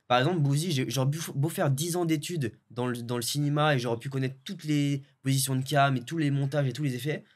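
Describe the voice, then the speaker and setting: A young man from French Guiana, a video editor, chatting in a relaxed way with lots of fillers and restarts. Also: mid-range voice, fast, few pauses. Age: young adult. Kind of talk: casual interview chat.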